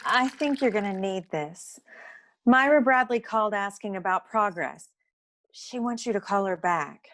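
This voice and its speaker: A woman's voice: mid-range voice, lively intonation, measured pace, fairly bright tone, a little hiss or hum. A woman playing a practical, sympathetic detective's office associate in a noir radio play. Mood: encouraging.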